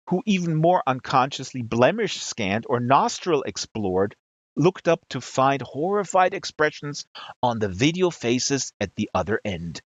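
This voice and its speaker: A man reading a satirical novel aloud with deliberate, emphatic phrasing, in a dull lo-fi recording. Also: mid-range voice, no room sound. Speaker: reader of literary excerpts.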